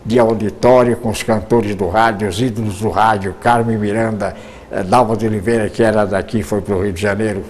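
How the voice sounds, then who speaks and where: A man's voice: lowish voice, fairly even intonation, brisk, a little mellow. Older brazilian guy reminiscing about radio, rambles, repeats words a lot. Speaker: radio broadcaster. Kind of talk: reminiscing interview answers.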